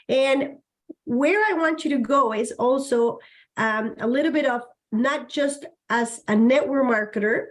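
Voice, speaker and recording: A woman hosting an online panel over a video call, upbeat and speaking directly to her audience. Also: a little high. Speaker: host. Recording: clear background music.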